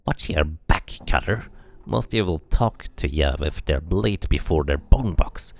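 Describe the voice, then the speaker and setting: A man's voice: a bass voice, expressive, measured pace, vocal fry, exaggerated voice. A man voicing a hostile, middle-aged ragged street thug in a game, with menacing slangy talk. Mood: threatening.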